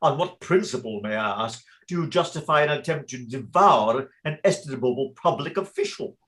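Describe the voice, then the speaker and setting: An actor playing a genteel, gently pompous lord in a theatrical comedy, courteous and ornate. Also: male voice, mid-range voice, expressive, normal speed, natural voice. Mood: politely puzzled. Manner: courteous, ornate, mock-solemn. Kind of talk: theatrical radio comedy acting.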